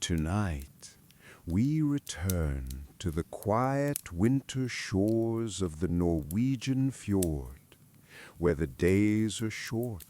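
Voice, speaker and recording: A man narrating a guided relaxation and bedtime story in a gentle, calm, unhurried and soothing way, close to the microphone. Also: sits low.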